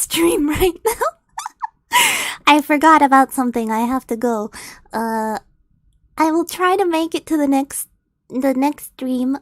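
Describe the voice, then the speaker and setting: Soft-paced giggly young woman streamer. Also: sits high, dry recording, studio quality. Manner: giggly, flustered, laughs often. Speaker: streamer reading fanfiction. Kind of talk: casual stream chat and read-aloud.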